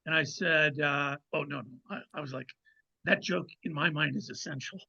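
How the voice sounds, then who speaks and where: A man speaking as a guest author, telling a casual anecdote in a halting way with repeated phrases. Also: a little high, steady tone, gravelly, bright, forceful tone. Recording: dull, slightly lo-fi microphone sound.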